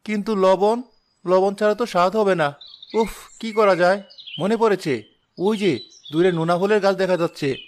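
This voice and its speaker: A man's voice: sits high, normal speed, a little hiss or hum, natural voice. A man playing a poor, earnest young father and cook in a cartoon drama, anxious but hopeful. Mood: musing. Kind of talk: Bengali cartoon drama voice acting.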